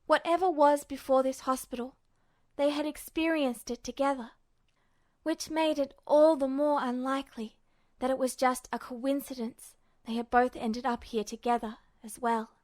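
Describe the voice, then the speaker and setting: A woman's voice: sits high, fairly even intonation, normal speed, darkish tone, no room sound. A woman narrating fan fiction as a scripted story reading.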